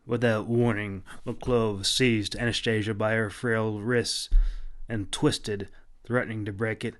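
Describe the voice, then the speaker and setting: Male author-narrator for fantasy fiction: unhurried, measured pace, fairly low pitch. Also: little pitch movement. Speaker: author reading own audiobook.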